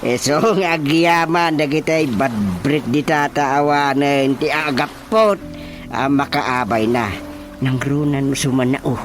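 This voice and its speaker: A man's voice: mid-range voice, measured pace, soft background music, close to an everyday voice. A man acting a teasing, bumbling village official in an Ilocano radio comedy, stammering and exclaiming.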